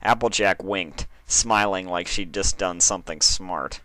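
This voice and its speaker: A man narrating a story as an expressive fiction reader. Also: sits low, a little slow, dry recording.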